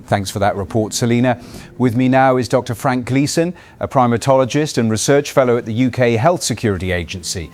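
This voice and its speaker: Male actor for news anchor, radio drama: formal, measured, composed, mid-pitched. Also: darkish tone, lightly acted. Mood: composed, serious. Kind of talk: scripted breaking news broadcast.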